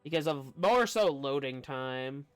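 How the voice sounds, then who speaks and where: Male game streamer, smooth clear voice, fairly high, chatty, rambling, faint music behind. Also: animated, a little slow, a bit bright. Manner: chatty, rambling, breaks off mid-sentence. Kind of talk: casual live stream commentary.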